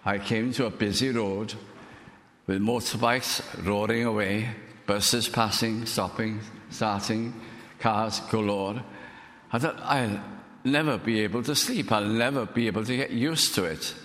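A man with a Welsh accent whose first language is Welsh, preaching a sermon in an earnest, unhurried way, letting his voice drop softly at the ends of phrases. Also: lowish voice. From Wales. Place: church. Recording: long reverb of a hall.

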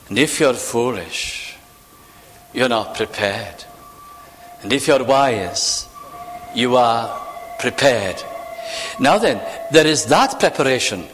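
A man preaching a sermon, acting out imagined voices and sinking to hushed, near-whispered phrases. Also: medium pitch, in a large hall, a little hiss or hum. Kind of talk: evening sermon.

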